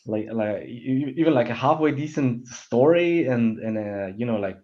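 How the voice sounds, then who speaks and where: A man's voice: mid-range voice, animated, normal speed, darkish tone. A man in a casual panel chat about opinions, rambling and stammering as he often restarts his sentences. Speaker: panelist on a film discussion show. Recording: dull, muffled lo-fi audio.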